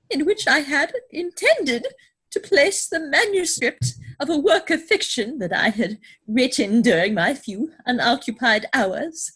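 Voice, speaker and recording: A flustered, prim older governess from a comic stage play. The voice is piercingly high and swings widely up and down. Her delivery is halting, fluttery and full of melodrama. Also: a bit bright, rough recording.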